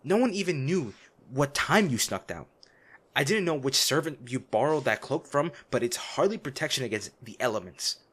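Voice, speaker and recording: A man acts out a noble lady's bodyguard in a fantasy audio roleplay. He is a man with a medium-pitched voice, blunt and exasperated. His delivery is emotional and protective, swinging between scolding and anxious care. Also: expressive, normal speed, a bit bright, breathy edge. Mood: angry, scolding. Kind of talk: dramatic fantasy audio roleplay addressed to the listener.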